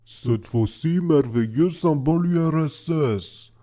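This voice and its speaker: A man voicing a blunt, cynical Soviet expert who speaks halting, broken sentences. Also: fairly high pitch, warm tone. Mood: enthusiastic. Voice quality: halting, article-dropping broken French.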